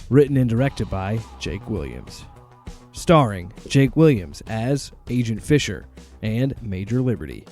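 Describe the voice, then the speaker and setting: A man's voice: medium pitch, mellow. A man reading scripted credits as the announcer of an audio drama.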